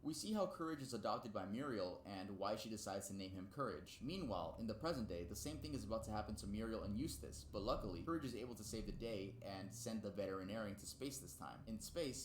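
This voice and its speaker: A man's voice: on the low side, lively intonation, fast talker, hardly any pauses. A young man narrating casual, semi-scripted video commentary. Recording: roomy home recording, clear background noise.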